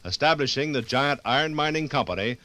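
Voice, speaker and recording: A man narrating a scripted 1950s radio documentary in a formal, dramatic, measured way. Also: mid-range voice, lively intonation, pushed and bright.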